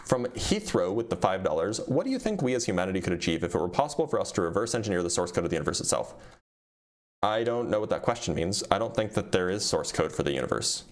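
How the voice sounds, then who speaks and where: Low-voiced man fielding livestream questions. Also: very animated, fast talker. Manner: reads questions aloud, then answers plainly with frequent ums. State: starting to lose his voice. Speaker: physicist answering viewer questions. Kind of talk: casual livestream Q&A.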